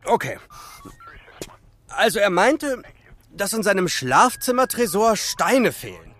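High-pitched, cheeky hungry boy. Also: very animated, slow pace, fairly bright tone, heavily acted. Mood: carefree. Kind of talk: children's audio drama acting.

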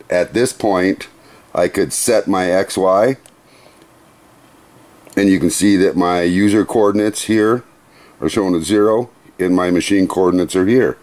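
A middle-aged man in a workshop, walking through a machine's controls in an unhurried, methodical, plain-spoken way. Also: sits low, a little mellow. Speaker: CNC machine demonstrator. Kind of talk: hands-on equipment demonstration. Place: workshop beside a CNC router.

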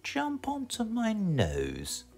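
A British man voicing a sly, hungry male fox who coaxes slowly, in a storybook read-aloud. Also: high voice, wildly swooping pitch, gravelly, darkish tone, breathy edge, soft background music, pitched above the performer's own voice, heavily acted. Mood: sly coaxing.

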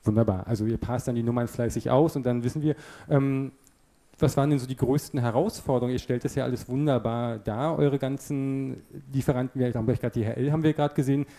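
A man in his thirties hosts a live stage event, holding a handheld mic in an indoor hall. His voice is very dark and mellow, and his questions come fast and upbeat. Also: mid-range voice, fairly even intonation. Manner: upbeat, quick questions to teens. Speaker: event moderator.

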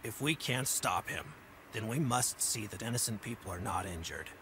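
A man's voice: on the low side, lively intonation, normal speed, noisy background, close to an everyday voice. A man playing a concerned official in a superhero comic dub. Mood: grave concern.

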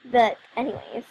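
A woman's voice: a little mellow, dull audio, a little hiss or hum. A young woman casually narrating a life-sim game as host, chatty and giggly with frequent ums and asides. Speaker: let's play host. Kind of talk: casual gameplay commentary.

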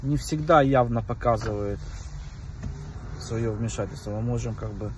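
A man's voice: medium pitch, moderate pace. Rambling preachy man filming himself in a car. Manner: rambling, preachy, many filler "вот". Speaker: vlogger. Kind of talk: casual monologue to camera. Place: inside a car at night. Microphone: phone held at arm's length. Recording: phone mic, distant, faint car noise.

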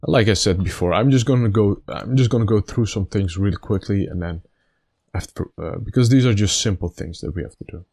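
A man with a slightly raspy, stuffed-up voice giving casual gameplay commentary, thinking aloud in a halting way. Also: fairly low pitch, a little mellow. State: stuffy nose after being sick. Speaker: gaming video host.

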